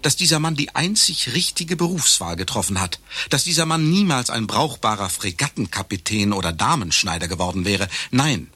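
Self-assured lecturer, satirical radio: forceful, declamatory, close dry sound, faint music. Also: male voice, lowish voice, lively intonation, normal speed, breathy edge, no room sound, full character voice. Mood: grandly earnest. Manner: declamatory, pompous. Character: self-assured, pompous male lecturer on doctoring.

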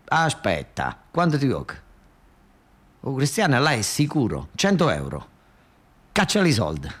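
A male storyteller acts out a cocky southern Italian card-trick hustler from a comic stage monologue. He is slick and repeats his patter over and over. The pitch leaps about in a very animated way. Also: a little slow, breathy edge, heavily acted. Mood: smooth, boastful. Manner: pattering, repetitive sales spiel.

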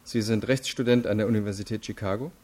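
Casting: male actor for a formal state attorney questioning suspects, lowish voice, measured, insistent, radio crime drama. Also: steady tone, warm tone, lightly acted. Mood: probing. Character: stern, probing adult male investigating state attorney. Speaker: interrogator.

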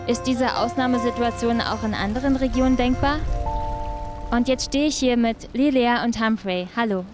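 Actress doing a curious lady radio reporter, highish voice, music playing behind her. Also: moderate pace, natural voice. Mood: earnest. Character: inquisitive German woman radio reporter. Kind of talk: radio drama documentary reporting.

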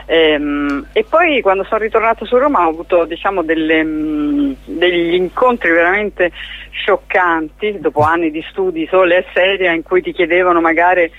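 A woman's voice: fairly low pitch, moderate pace, no echo. A woman, speaking as a theatre-maker being interviewed on radio in a wry, self-ironic, conversational way, on a slightly dull recording. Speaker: playwright and theatre director, interview guest.

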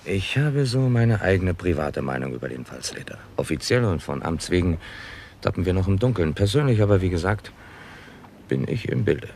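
An actor playing an unflappable, intuitive police detective in a 1960s German crime radio play, gravelly, dry and deliberate. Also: male voice, deep voice, big pitch swings, darkish tone, slight background noise. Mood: calm, confiding. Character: Mr. Nutting, unflappable, intuitive Scotland Yard detective.

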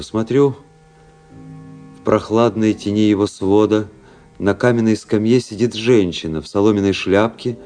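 An actor playing a cynical, world-weary young aristocratic officer in a radio play, narrating in a measured, ironic literary manner. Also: male voice, fairly low pitch, a little mellow, close to an everyday voice. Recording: radio play with faint music behind.

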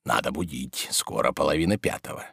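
A man's voice: mid-range voice, big pitch swings, moderate pace, bright, forceful tone, no room sound, clean studio sound, pitched above the performer's own voice. A man voicing a grumbling, exasperated yet dutiful manservant in a literary story, nagging in a folksy, colloquial way. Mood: hushed, cautious.

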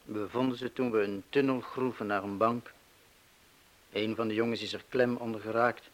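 A man acting in a radio play as a guilt-ridden, fearful middle-aged crook, speaking in a halting, confessional way. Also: lowish voice, fairly even intonation, natural voice. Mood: grim, subdued.

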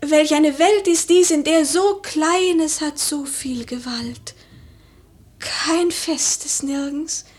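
A woman's voice: sits high, slow, a little mellow. A woman playing a haunted noblewoman in a poetic radio play, dreamy, overwrought and declamatory. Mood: feverish, agitated. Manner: declamatory, breathless whispers rising to agitation. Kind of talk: poetic theatrical radio monologue.

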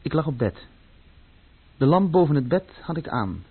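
A man's voice: a little high, very animated, moderate pace, husky, exaggerated voice. An actor playing a troubled, plain-spoken young German man who recounts his past in short matter-of-fact sentences in a radio play. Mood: subdued, uneasy. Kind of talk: radio play first-person narration. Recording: dull lo-fi old radio play recording.

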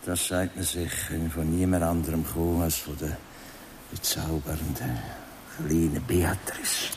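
A man's voice: extremely low pitch, fairly even intonation, a little slow, a little hiss or hum. A man acting in a Swiss German radio drama as a predatory man who speaks in a coaxing, insinuating way. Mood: sly, insinuating. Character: predatory man pressing himself on a young woman.

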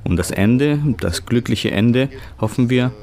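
A man reading a German voice-over translation of an interview in a steady, measured way. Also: medium pitch, vocal fry.

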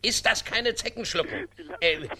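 A man's voice: high-pitched, a little slow, pushed and bright, full character voice. An actor playing a flustered, blustering king and father in a comic radio play, garbling his words and correcting himself.

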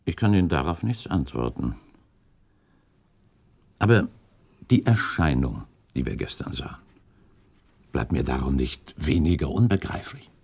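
Deferential gentleman, radio drama, extremely low gravelly voice, formal, earnest, puzzled. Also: expressive, exaggerated voice. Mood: puzzled, conceding. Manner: formal, measured, respectful. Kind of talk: German radio play acting.

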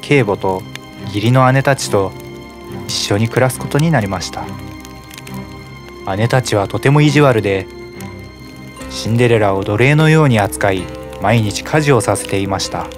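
Low-voiced male storybook narrator. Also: normal speed, pauses often. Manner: calm, even storytelling. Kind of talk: scripted fairy-tale narration. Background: music.

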